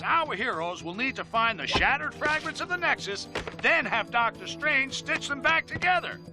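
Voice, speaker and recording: High-pitched, brash newsman. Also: full character voice. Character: brash, fast-talking male city news reporter, grumbling. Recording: music behind the voice. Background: music.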